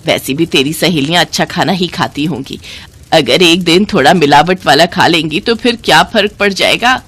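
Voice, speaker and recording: A woman's voice: low voice, moderate pace, fairly bright tone, husky, pitched below the performer's own voice. A woman voicing a miserly, bossy older mother-in-law who scolds and complains in a storybook tale. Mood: stingy, bossy.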